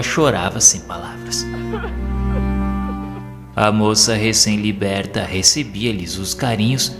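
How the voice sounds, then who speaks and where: A Brazilian man reading a literary audio novel as narrator, with formal, solemn diction. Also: lowish voice. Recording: long reverb, prominent background music. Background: music.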